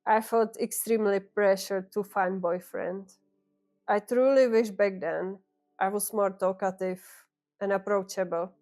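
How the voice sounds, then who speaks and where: A woman in her thirties from the Czech Republic, with a Czech accent, calmly and earnestly telling her own story to camera. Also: mid-range voice. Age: 30s. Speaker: vlogger. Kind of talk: reflective personal storytelling.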